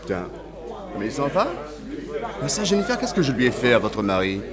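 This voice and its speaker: Actor as suave, roguish seducer, wildly expressive. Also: male voice, mid-range voice, moderate pace, close to an everyday voice. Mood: teasing. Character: suave, roguish society seducer, flippant and amused. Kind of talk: French theatrical radio acting. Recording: loud music and crowd behind.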